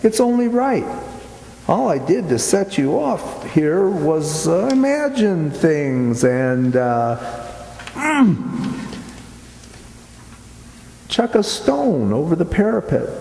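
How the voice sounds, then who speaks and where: An actor playing an uneasy, imaginative man in a radio play, speaking haltingly in an echoey hall. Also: sits high, creaky, a little mellow, a little hiss or hum, heavily acted. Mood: defensive. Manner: hesitant, halting, musing. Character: uneasy, imaginative man sightseeing at dusk with his sweetheart. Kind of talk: amateur radio play acting. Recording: echoey hall with long reverb.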